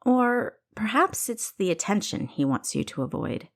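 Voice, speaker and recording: A woman voicing a serious, composed female confidante with a calm, knowing manner in a story. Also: medium pitch, moderate pace, pitched above the performer's own voice.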